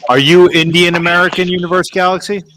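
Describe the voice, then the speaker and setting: A man's voice: high-pitched, flat delivery, fast talker, a bit bright, slight background noise, rough recording. A man on a debate panel who asks direct questions.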